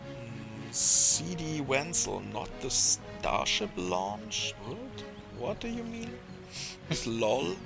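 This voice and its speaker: Non-native male stream host, slow and halting, gravelly tone, game music behind. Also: medium pitch, big pitch swings. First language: not English. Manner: hesitant, many fillers, reads out viewer comments. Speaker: stream host reading chat. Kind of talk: casual livestream chat.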